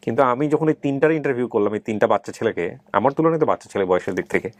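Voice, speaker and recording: A Bangladeshi man in middle age hosts an interview in a conversational, emphatic way. His voice sits at an ordinary pitch and is recorded very dry. He moves between questioning guests and addressing viewers, peppering his talk with English words. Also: expressive, racing, no echo. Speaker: interview host.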